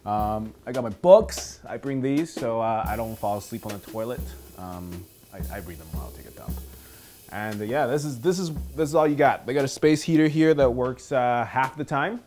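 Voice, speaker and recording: A man from Taiwan, a native Mandarin speaker, talking in a relaxed, reflective way in a documentary interview in a small hotel room. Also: mid-range voice, moderate pace. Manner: relaxed, reflective, soft-spoken. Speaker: comedian. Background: music.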